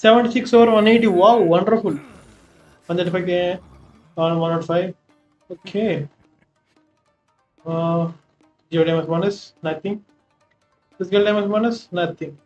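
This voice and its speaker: A man's voice: sits high, rapid-fire, pauses often, darkish tone. A man from India with a Telugu accent, giving casual live gameplay commentary as a streamer through a muffled microphone. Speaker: game streamer. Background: game music.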